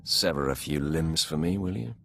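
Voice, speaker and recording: A cold, smug Sith master for a video game. His voice is extremely low and gravelly, with imperious, lively swings in intonation. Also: heavily acted. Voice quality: very deep, gravelly. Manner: measured, imperious, dryly suggestive. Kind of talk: scripted video game acting.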